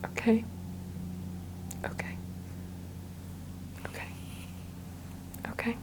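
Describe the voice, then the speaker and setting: A woman playing the patient in a soft-spoken ASMR roleplay, giving quiet, terse answers. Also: very slow.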